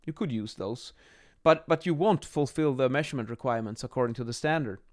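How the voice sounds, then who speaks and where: A man in his thirties explaining as an instructor in an informal lesson, a little halting as they think aloud, recorded on a close microphone in a small room. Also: mid-range voice, darkish tone. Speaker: acoustics instructor, presenter. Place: small home office, indoors. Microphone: microphone on boom arm, close.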